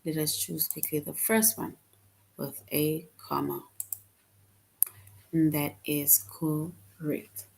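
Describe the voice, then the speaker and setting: A woman teaching a children's grammar lesson online, reading out answer choices slowly and patiently. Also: sits low, little pitch movement, vocal fry, a little mellow, breathy edge, poor audio. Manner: slow, patient, reads answer options aloud.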